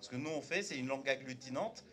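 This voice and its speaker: A man's voice: mid-range voice, fast, a bit bright. A man from Turkey whose first language is Turkish, speaking up from the audience at a debate, hesitant with many fillers and restarts. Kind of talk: spontaneous debate remark.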